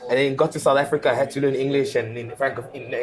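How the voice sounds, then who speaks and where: A man in his thirties from the Democratic Republic of Congo, whose first language is French, speaking as a consulting director in an animated, emphatic interview full of rhetorical questions. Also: mid-range voice, quick pace, soft background music. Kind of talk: conversational interview. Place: conference.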